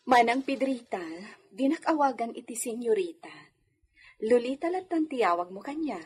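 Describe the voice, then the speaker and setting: A woman's voice: fairly high pitch, expressive, a little slow, husky. A woman playing a kind, humble young wealthy lady, speaking graciously in an Ilocano radio drama. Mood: wistful.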